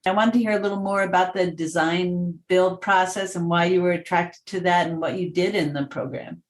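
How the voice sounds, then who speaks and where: A woman cohosting a podcast, introducing the guest in a steady, measured way. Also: fairly low pitch.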